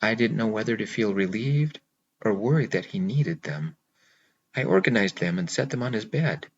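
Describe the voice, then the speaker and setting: Low-voiced man reading a romance novel. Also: lively intonation, normal speed, muffled recording, a little hiss or hum. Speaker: audiobook narrator. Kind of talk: first-person novel narration.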